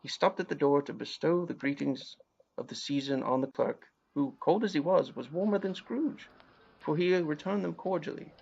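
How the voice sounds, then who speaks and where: A man's voice: fairly high pitch, moderate pace, gravelly, darkish tone, husky, dull audio. A man narrating a scripted storybook audio play.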